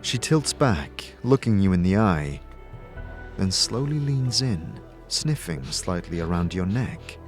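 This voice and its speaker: A man with a lowish voice tells a fantasy tale in the second person, like a game master. His delivery is dramatic and deliberate, with music running clearly underneath. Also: sing-song. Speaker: narrator and game master of an audio series.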